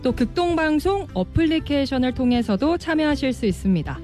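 A woman producer hosting a live holiday radio broadcast, with a bright, polished announcer delivery. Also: high-pitched, animated, a little fast, faint music behind.

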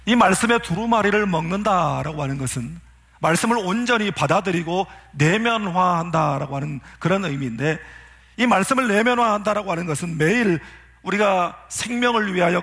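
A man's voice: high-pitched, animated, brisk, a bit bright. A man who is a pastor, preaching a sermon in an earnest way and putting rhetorical questions to his congregation.

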